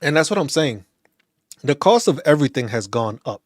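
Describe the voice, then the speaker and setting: A man from New City, speaking in a slightly higher voice than most men. He rants in loose, casual talk, restarts and repeats himself, and is recorded close and dry. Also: a little fast, no room sound. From New York City. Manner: animated, ranting, repeats phrases and restarts. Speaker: veterans' channel host.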